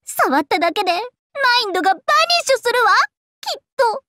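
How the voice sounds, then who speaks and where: Super high girly anime game dragon princess, vain and playful, voice swooping everywhere, dry recording. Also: animated, quick pace, a bit bright, dry recording. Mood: squeamish. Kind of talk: Japanese anime game character voice lines.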